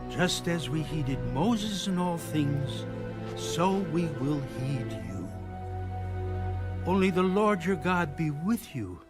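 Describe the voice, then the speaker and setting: An actor playing a devoted spokesman pledging loyalty in a dramatized audio Bible, earnest and declamatory. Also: a little high. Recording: music under the voice.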